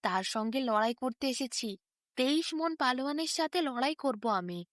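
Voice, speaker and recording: A woman's voice: high voice, animated, normal speed, dry recording, studio quality. A woman voicing a proud, boastful male giant of a wrestler in a storybook folk tale, declaiming and eager to fight.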